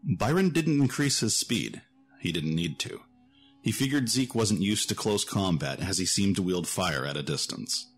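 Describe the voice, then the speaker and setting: A man's voice: low-pitched, lively intonation, normal speed. A man reading scripted narration for a fantasy adventure story. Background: music.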